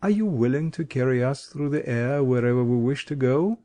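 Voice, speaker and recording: Guy doing a mellow kindly scarecrow, coaxing and gentle. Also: mid-range voice, animated, normal speed, lightly acted. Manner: kind, coaxing.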